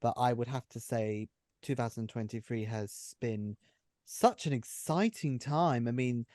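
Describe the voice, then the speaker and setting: A man chats casually in a medium-pitched voice. His way of talking is effusive and emphatic. The sound is dry, with little room to it. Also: unhurried, a little mellow, dry recording. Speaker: audio drama writer and performer. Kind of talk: casual group chat.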